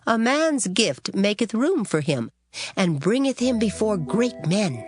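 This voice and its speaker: A woman reading scripture aloud in a measured, solemn recitation. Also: medium pitch, sing-song, darkish tone, slightly rough, no room sound. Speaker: scripture reader. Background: background music.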